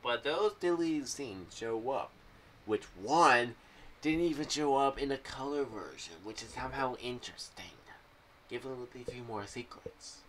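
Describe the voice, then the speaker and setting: A young man giving unscripted, rambling commentary in a halting, meandering way, speaking into a boom-arm microphone in a small room at home. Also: expressive, fairly bright tone. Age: young adult. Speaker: video creator sharing fan theories. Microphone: boom-arm microphone with pop filter, headphones. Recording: clearly noisy home recording.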